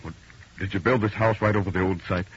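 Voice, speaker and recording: A man's voice: deep voice, fairly even intonation, fast talker, natural voice. An actor playing an agitated, insistent man haunted by a nightmare, in old-time radio drama style, by turns hesitant and grim. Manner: hesitant, then grimly insistent. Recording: muffled, faintly noisy vintage radio recording.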